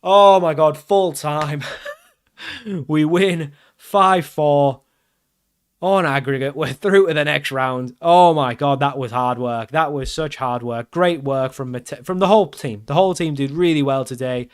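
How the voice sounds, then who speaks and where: A man commenting casually over his football management game, getting loud and excited at the big moments. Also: on the high side, measured pace, smooth. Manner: excitable, shouts at big chances and goals. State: losing his voice. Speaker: game streamer.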